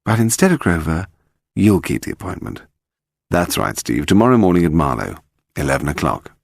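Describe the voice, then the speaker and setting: A composed male detective read by a male narrator talks with brisk authority in an audiobook mystery. His delivery moves widely in pitch, recorded close and dry. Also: sing-song, vocal fry, no room sound, full character voice. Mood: calm confidence. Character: Paul Temple, composed, commanding male amateur detective.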